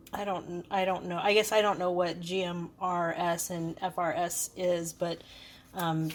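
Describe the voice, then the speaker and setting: A woman giving a casual, hands-on product review, explaining things in a hesitant, unscripted way. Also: fairly low pitch. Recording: clearly noisy background.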